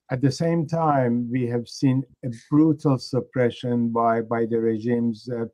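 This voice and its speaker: A man in his sixties with an Iranian accent, answering interview questions in a measured, hesitant way as a guest. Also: mid-range voice, darkish tone. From Iran. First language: Persian. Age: 60s. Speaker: guest, engineering professor and activist.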